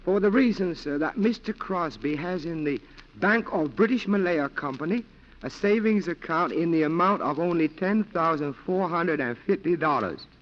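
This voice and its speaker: An actor in a 1940s radio drama playing a polite, calculating clerk and go-between who speaks formally and precisely. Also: male voice, fairly high pitch, animated, normal speed, natural voice. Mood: deferential, sly. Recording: muffled 1940s radio broadcast recording.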